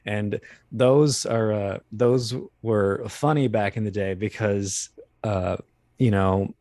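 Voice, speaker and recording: Low-voiced man, hesitant interview guest. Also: takes it slowly, a little mellow, no echo, clean studio sound. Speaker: guest, voice actor. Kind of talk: interview conversation, explaining the craft.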